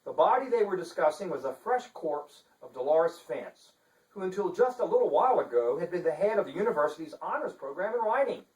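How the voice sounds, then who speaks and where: Theatrical guy reading his novel out loud, pompous, highish voice, roomy and off the mic. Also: sing-song. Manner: pompous, theatrical, deliberate enunciation. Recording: echoey room, distant microphone.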